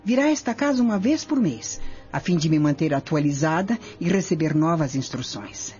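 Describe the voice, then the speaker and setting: An actress playing a vengeful, scheming Roman noblewoman in a radio drama, speaking imperiously and deliberately. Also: low-pitched, expressive, darkish tone, soft background music. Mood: commanding, calculating.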